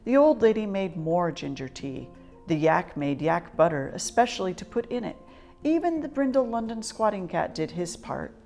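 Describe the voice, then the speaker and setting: Woman storyteller, lowish pitch, expressive children's book narration, measured, articulate, some music. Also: creaky.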